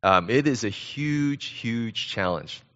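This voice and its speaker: A middle-aged American man preaching conversationally as a guest pastor, speaking in an earnest, halting way. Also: medium pitch, animated, a bit bright, highs cut off. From born in Korea, grew up in Southern California. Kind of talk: conversational sermon.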